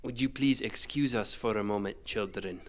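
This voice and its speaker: A man playing a stern, duty-bound veteran general in a fantasy audio drama, speaking formally and with measured authority. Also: lowish voice, natural voice. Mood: grave, patient.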